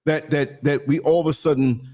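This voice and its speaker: A man's voice: on the high side, fairly even intonation, moderate pace, mellow. Earnest talk show host, a man. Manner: earnest, repeats words when pushing a point. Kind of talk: casual chat.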